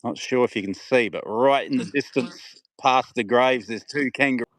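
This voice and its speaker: A man's voice: mid-range voice, moderate pace, a bit bright. A man from South Australia with a South Australian accent, making laid-back remarks on a livestream while outdoors in a cemetery. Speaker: livestream co-host. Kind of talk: casual livestream chat.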